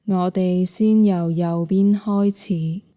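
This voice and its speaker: A woman with a Hong Kong Cantonese accent leads a guided meditation. Her voice is low and very mellow, with a deeply relaxed, dark tone. She speaks slowly and softly, leaving long, soothing pauses between phrases. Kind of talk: guided yoga nidra meditation narration.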